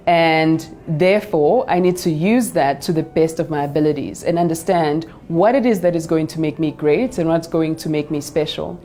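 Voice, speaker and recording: A woman in her thirties from South Africa, a business speaker telling her story in a calm, measured, motivational way in a studio. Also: deep voice. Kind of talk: personal story to camera. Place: studio with pink backdrop.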